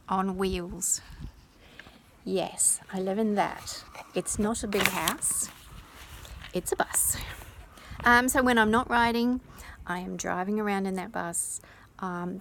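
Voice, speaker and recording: A middle-aged woman from New Zealand with a New Zealand accent, a children's author chatting casually and self-deprecatingly as she answers questions outdoors. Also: medium pitch. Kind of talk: casual outdoor video talk. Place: outdoors on a windy coast. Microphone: phone or camera held by hand. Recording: windy outdoor phone recording. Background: wind noise.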